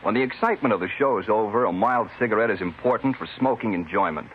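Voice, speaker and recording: A man's voice: lowish voice, fast. A man reading a scripted testimonial for a 1950s radio advertisement. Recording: muffled vintage radio with faint noise.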